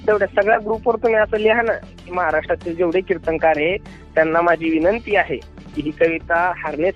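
वाद घालणारा, उंच आवाजाचा ग्रामीण महाराष्ट्रीय माणूस. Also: male voice, sits high. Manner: argumentative, insistent, repetitive. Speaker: kirtankar, devotional performer. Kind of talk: heated argument on a phone call. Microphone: phone call. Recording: dull phone call recording with music.

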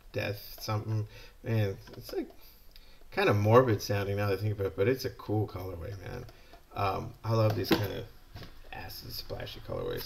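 A middle-aged American man with a deep, heavily gravelly voice talks in a loose, excited ramble as he shows off new stock. It's recorded on a desk camera in an indoor shop room. A faint hiss sits under the voice. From California, USA. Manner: enthusiastic, rambling, chatty. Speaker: yo-yo shop owner. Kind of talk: casual product unboxing.

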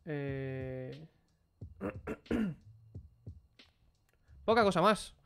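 Blunt young Spaniard streaming on a headset. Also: male voice, a little high, expressive, very slow, gravelly, fairly bright tone, a little hiss or hum. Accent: Spanish from Spain. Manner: blunt and informal, answers chat questions. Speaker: streamer reviewing a player's matches. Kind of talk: casual live-stream commentary.

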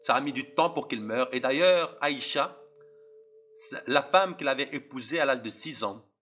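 Impassioned young man preaching, husky and tense. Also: mid-range voice, moderate pace, sharp tone. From Algeria. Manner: impassioned, repetitive, addresses listeners directly. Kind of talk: preaching testimony.

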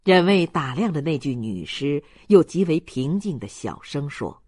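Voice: medium pitch, sing-song, moderate pace, darkish tone, no echo, studio quality. A narrator reading literary fiction aloud in a dramatic storytelling manner. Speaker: audiobook narrator.

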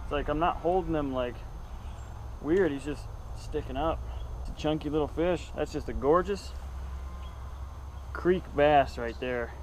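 Young dude fishing vlog, chill and chatty, windy outdoor cam mic, wind noise all over. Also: male voice, on the high side, unhurried, long pauses, rough recording. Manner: laid-back, chatty, swears when cold. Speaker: fishing vlogger. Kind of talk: casual vlog chat. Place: outdoors on a windy dock by a canal. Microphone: action camera, held or set nearby.

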